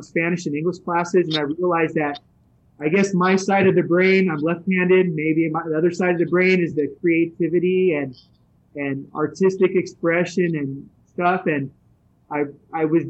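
A middle-aged man with a notably high voice tells his story in a loose, rambling way. He works as a Spanish teacher and grew up in the San Francisco area. He pauses often and fills gaps with "um" and "uh.". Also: highs cut off. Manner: rambling, many fillers and restarts. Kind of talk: casual interview answers.

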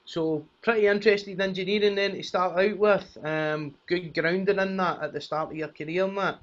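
A man's voice: high-pitched, fairly even intonation, moderate pace, a bit bright, dull audio. A man hosting a podcast, giving short upbeat prompts in casual interview style. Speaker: podcast host.

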